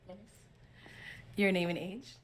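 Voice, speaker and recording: A woman's voice: medium pitch, moderate pace, a little hiss or hum, poor audio. A woman working as a dating show host, prompting contestants with short questions.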